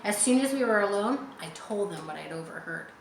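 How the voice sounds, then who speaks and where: A woman's voice: mid-range voice, animated, a little fast. A woman narrating an adventure story for children, reading expressively and adding simple explanatory asides. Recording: echoey room with faint background noise.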